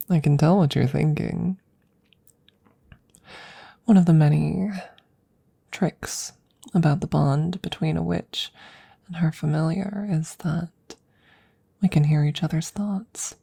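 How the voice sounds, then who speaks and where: A woman playing an affectionate, teasing witch in an ASMR audio roleplay, speaking softly and gently, often dropping into close whispers. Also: deep voice, exaggerated voice. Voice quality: soft, breathy, husky, often dropping to whispers. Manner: gentle, unhurried, cooing, playfully teasing. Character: affectionate, teasing young witch doting on her werewolf familiar.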